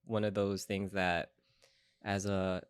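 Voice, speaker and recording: A man's voice: low-pitched, level tone, slow pace, smooth, no room sound. A young American man answering questions casually as a guest on a podcast. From Chicago, United States. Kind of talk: casual conversational interview answers.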